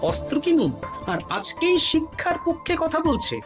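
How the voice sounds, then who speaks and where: A man voicing a witty, suspicious male court jester in a cartoon, wry and quick with a quip. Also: very high voice, sing-song, music in the background. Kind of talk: cartoon voice acting.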